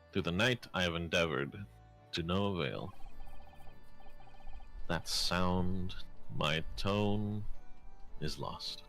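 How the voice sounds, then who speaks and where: Guy doing a dramatic old-timey detective for a game fandub, low bright voice, florid and woe-is-me. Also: exaggerated voice. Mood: anguished lament. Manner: grandiose, florid, melodramatic delivery. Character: theatrical, self-pitying eccentric male Victorian detective. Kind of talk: fan dub of a detective video game.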